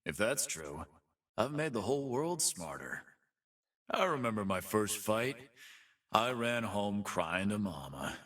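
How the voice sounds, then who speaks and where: Game role: brash, streetwise half-beast fight boss, low but forceful, sardonic swagger. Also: male voice, unhurried, recorded from a distance, full character voice. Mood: boastful, wry. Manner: streetwise, boastful, deadpan wisecracking. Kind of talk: video game character voice lines.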